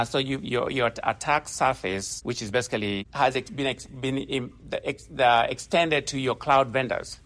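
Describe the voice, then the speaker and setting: A man's voice: medium pitch, a bit bright. A man in his forties, originally from Kenya, giving a conversational conference talk from the stage, often restarting phrases and using fillers. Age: 40s. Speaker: conference speaker. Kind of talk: conference presentation.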